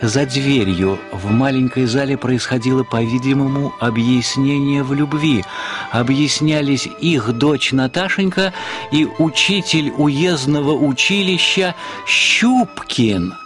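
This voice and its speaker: A man's voice: mid-range voice, a little slow, natural voice. A man narrating a literary comic story for a radio play. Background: music.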